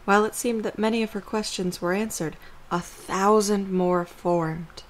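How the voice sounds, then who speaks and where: A woman's voice: mid-range voice, steady tone, unhurried, low-quality recording. A woman reading scripted story narration as the narrator of an audio drama.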